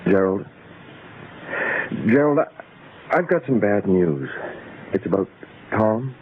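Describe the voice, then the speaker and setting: An actor playing a troubled, gentle middle-aged small-town preacher and father in radio horror, speaking haltingly. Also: on the low side, animated. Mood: halting, somber. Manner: hesitant, halting, repeats words. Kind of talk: old-time radio horror acting. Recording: tinny, noisy phone-like radio drama recording.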